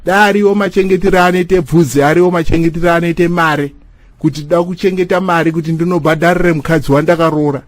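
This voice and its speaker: Cartoon church elder, played by a man: exhorting and repetitive, slightly high male voice, dry close recording. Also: fairly even intonation, quick pace, no room sound, natural voice. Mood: admonishing. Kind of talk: animated comedy cartoon dialogue in Shona.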